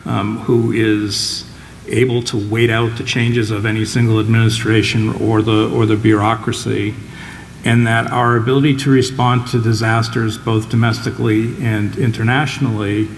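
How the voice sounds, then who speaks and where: Low-voiced guy on a panel, earnest, keeps restarting, faint hiss. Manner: earnest, halting, with many restarts. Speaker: panelist, dean. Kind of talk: panel discussion answer. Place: public event hall.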